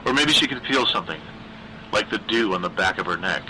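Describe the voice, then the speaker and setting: Bright, forceful man narrating dark fiction. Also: on the low side, animated, fairly quick, a little hiss or hum, low-quality recording. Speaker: story narrator, reader. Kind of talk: scripted narration of a dark horror story.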